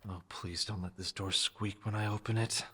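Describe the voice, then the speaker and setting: A man's voice: on the low side, fairly even intonation, moderate pace, slight background noise. A man playing a wry fox adventurer in an audio drama, talking to himself with dry understatement. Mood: wary, wry. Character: wry male fox adventurer, sneaky, self-narrating, improvising. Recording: loud music under the voice.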